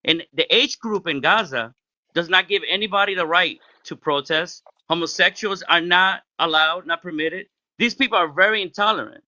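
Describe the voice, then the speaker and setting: A man with a loud voice argues hard in a heated online debate, cutting in and repeating himself for emphasis. His voice sits high and sounds very bright and forceful. The sound is dry and somewhat dull, like a lo-fi call. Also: moderate pace, no room sound. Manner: loud, interrupting, emphatic, non-native grammar. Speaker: debate caller. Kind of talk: heated live-stream debate.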